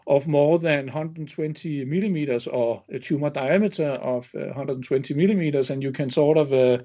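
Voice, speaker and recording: A man presenting clinical results as an executive on an investor webinar, speaking haltingly with frequent ums. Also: a little high, warm tone. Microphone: webinar call connection. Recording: dull, band-limited webinar audio.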